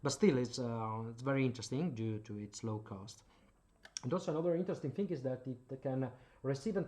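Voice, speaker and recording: A man from Italy with an Italian accent, explaining radio gear at his home workbench in a hesitant way, full of filler sounds. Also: mid-range voice. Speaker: hobbyist channel host. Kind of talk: casual explanatory demo.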